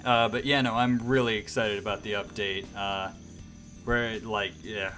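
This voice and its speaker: A man with a fairly low voice hosts a casual livestream. He rambles along enthusiastically, talking straight to his viewers over quiet background music. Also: fairly even intonation, unhurried. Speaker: host of a radio-style livestream.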